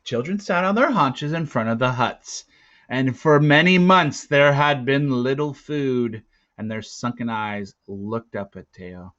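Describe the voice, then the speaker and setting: A man reading a children's novel aloud with deliberate, dramatic phrasing. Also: medium pitch, fairly bright tone, dull audio. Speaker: story reader. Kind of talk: expressive read-aloud narration of a children's novel.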